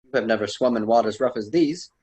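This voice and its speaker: A man playing a wise, admonishing storyteller mentor in an amateur radio drama, formal and slightly husky. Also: mid-range voice, quick pace, darkish tone, natural voice. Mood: grave. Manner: formal, solemn, didactic.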